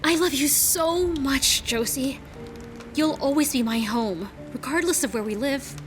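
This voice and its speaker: A woman's voice: sits high, unhurried, faint music behind. A woman playing a devoted, playful aristocratic lady in love in a period audio drama. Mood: tender, playful.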